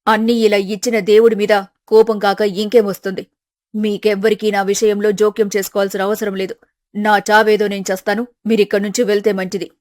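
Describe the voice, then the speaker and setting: A woman's voice: mid-range voice, level tone, a little fast, darkish tone, close to an everyday voice. Lady doing an angry middle aged mom from a family drama story, harsh, bitter, scolding hard. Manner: harsh, accusatory, emphatic.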